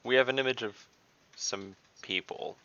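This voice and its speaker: A man playing in an online tabletop game, speaking deliberately and thinking his plans through out loud. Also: mid-range voice, dull audio. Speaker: player.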